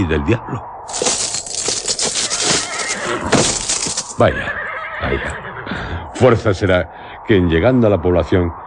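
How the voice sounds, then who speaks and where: A man with an accent from Spain playing an educated traveller who narrates a gothic tale in a solemn, measured, literary radio drama manner. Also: low voice, a little mellow, a little hiss or hum. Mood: incredulous. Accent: Spanish from Spain.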